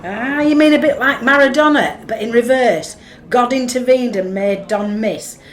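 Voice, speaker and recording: A woman's voice: a little high, moderate pace, close to an everyday voice. A woman with a Northern English accent acting in a radio play as a blunt, level-headed, no-nonsense sceptic. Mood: questioning. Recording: echoey room.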